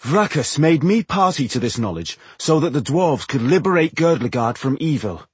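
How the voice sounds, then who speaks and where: A man voicing an earnest, eager young dwarf hero in a fantasy audiobook, husky and impassioned, speaking with urgent emphasis. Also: medium pitch, sing-song, normal speed, no echo, pitched above the performer's own voice. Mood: impassioned pleading.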